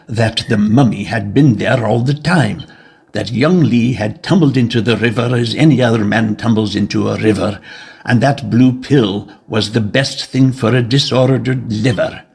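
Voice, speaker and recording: Gravelly, husky male narrator. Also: mid-range voice. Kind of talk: scripted dramatic story narration.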